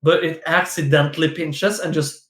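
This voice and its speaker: A man's voice: a little high, steady tone, moderate pace, a bit bright, echoey room. A man, a guest on a stream, chatting playfully with giggles and lots of restarts. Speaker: guest, esports player. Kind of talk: casual chat on a stream.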